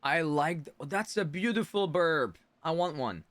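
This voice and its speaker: A man's voice: a little high, lively intonation, normal speed, fairly bright tone, no echo. A man giving casual live game commentary, musing aloud and joking in a chatty way. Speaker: game streamer. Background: game music.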